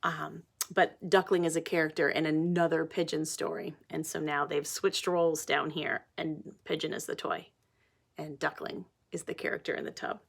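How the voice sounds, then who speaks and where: Animated woman teacher reading aloud. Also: sits low, normal speed, gravelly. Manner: animated, simple explanations and questions addressed to children. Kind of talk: picture-book read-aloud with asides to children.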